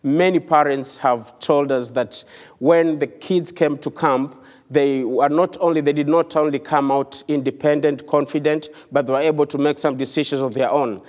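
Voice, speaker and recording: A man's voice: on the high side, steady tone, moderate pace, a little mellow, in a large hall. A man from Kenya with a Kenyan accent, giving an earnest, conversational mission report to a church congregation with touches of humor. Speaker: visiting missionary speaker. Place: church sanctuary.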